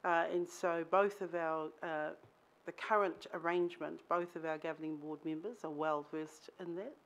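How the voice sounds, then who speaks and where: A woman from a local board answering councillors carefully, halting and using many fillers. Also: low-pitched, fairly even intonation, poor audio. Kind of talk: answering questions at a council meeting. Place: council chamber.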